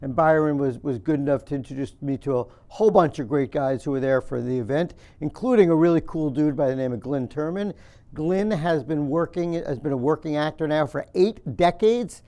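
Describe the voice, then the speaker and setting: A New man hosts a basketball podcast with an easy, enthusiastic warmth toward his guest. He speaks, and his voice runs somewhat high for a man. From New York. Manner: enthusiastic, chummy, quick to laugh. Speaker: podcast host. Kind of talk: casual interview and podcast intro.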